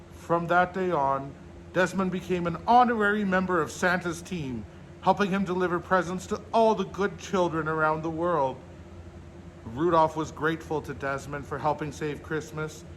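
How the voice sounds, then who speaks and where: Higher-pitched man reading a children's story. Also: a bit bright, slight background noise. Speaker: storyteller in a Santa persona, host.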